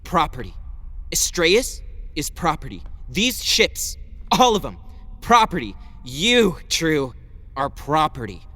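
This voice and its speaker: A man's voice: fairly high pitch, wildly swooping pitch, slow, sharp tone, exaggerated voice. An actor playing an exasperated, protective young man in an audio drama, speaking bluntly and informally. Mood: angry, bitter.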